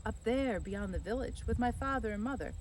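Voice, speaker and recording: A woman voicing an eager, cheeky fourteen-year-old boy from a children's storybook, full of excitable questions. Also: a little high, fairly quick, distant mic, a little hiss or hum, close to an everyday voice, rough recording. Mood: matter-of-fact. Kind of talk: children's storybook character reading.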